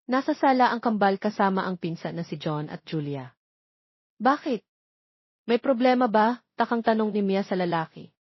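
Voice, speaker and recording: A woman's voice: mid-range voice, no room sound. A woman with a Filipino accent reading a scripted romance story as an audiobook narrator. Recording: slightly dull, lo-fi recording.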